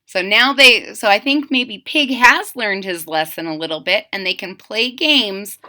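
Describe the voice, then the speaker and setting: A woman reading a picture book aloud in a theatrical, drawn-out way, coaxing young listeners with questions and explanations. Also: medium pitch. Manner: animated, theatrical, drawn-out emphasis; coaxing, explaining asides to children. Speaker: children's story reader and channel host.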